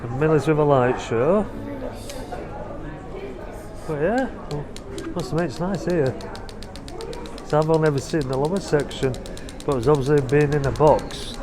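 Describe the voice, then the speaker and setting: A man's voice: on the high side, normal speed, pauses often, crowd noise. A man hosting a family vlog, giving a loose, chatty walking tour inside a theatre while filming on a handheld camera. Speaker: family vlogger host. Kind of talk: casual walking tour narration. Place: inside a theatre, foyer and private box.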